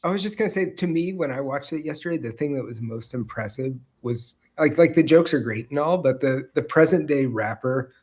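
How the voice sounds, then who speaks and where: A man with a slightly husky voice, a podcast guest talking casually and haltingly. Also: medium pitch, lively intonation, darkish tone. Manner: halting, searching for words.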